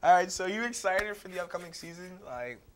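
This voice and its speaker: A man's voice: high-pitched, expressive, brisk, fairly bright tone, a little hiss or hum. A man hosting a casual interview, asking questions in a laid-back, friendly way. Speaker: host.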